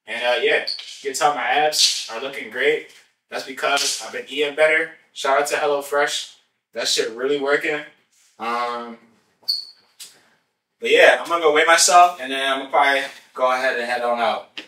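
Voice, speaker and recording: A man's voice: medium pitch, normal speed, bright, forceful tone, far from the mic. A young man chatting casually on a fitness vlog, laid-back and slangy, filmed at home and outdoors on a camera mic. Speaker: fitness vlogger. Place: home kitchen and outdoor field. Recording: roomy sound from off mic.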